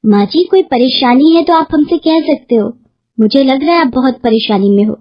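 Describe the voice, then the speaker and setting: A woman's voice: high voice, moderate pace, muffled recording, a little hiss or hum, close to an everyday voice. A woman voicing a caring, respectful young village henna artist in a story. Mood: concerned.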